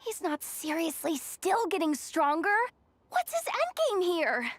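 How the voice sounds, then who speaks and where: A woman voicing a sharp-witted, skeptical young ally in an anime game, speaking casually. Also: extremely high pitch, wildly swooping pitch, measured pace, dry recording, heavily acted.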